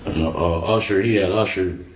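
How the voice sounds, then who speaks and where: A man's voice: low voice, steady tone, brisk. A man being questioned by police, casually recounting memories in profane street slang on a roomy, noisy interview recording. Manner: profane, slangy storytelling, acting out quoted exchanges.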